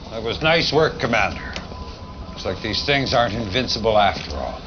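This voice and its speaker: Actor as a blunt, low-voiced military general. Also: male voice, animated, normal speed, close to an everyday voice. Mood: determined. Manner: blunt, commanding. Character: blunt, weary military general briefing his commander. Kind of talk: dramatic video game cutscene acting. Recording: dull lo-fi audio, clear background noise.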